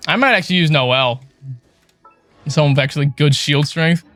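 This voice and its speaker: A man's voice: on the high side, normal speed, fairly bright tone, no echo. A man livestreaming a video game with casual, foul-mouthed, exasperated commentary. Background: video game music.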